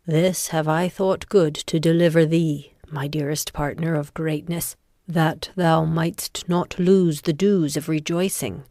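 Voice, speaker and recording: A woman playing an ambitious, commanding noblewoman who schemes, in a dramatic Shakespearean reading with deliberate, intense delivery. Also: sits low, darkish tone, no room sound, studio quality.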